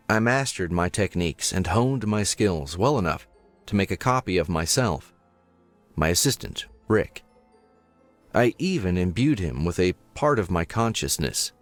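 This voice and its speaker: A man's voice: sits low, dry recording. An actor playing a formal, earnest male professor and inventor in a video game, with theatrical delivery. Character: distressed male professor and inventor of mechanical animals. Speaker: game character. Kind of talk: scripted video game voice acting.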